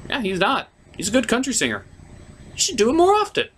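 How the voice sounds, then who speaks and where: Animated man reviewing a cartoon episode. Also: extremely high pitch, fast. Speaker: cartoon review show host. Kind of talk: casual episode review commentary.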